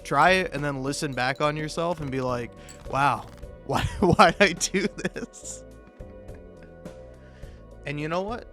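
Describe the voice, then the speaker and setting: A man improvising a self-deprecating comic monologue for a gaming video, rambling and halting, often interrupting himself and swearing casually. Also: on the high side, pushed and bright, soft background music. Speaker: video game YouTuber.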